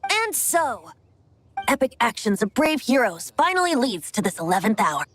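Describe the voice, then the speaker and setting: A woman's voice: fairly high pitch, sing-song, moderate pace, fairly bright tone. A woman voicing a playful, theatrical young male bard in a video game, speaking eloquently like a storyteller. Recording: music under the voice.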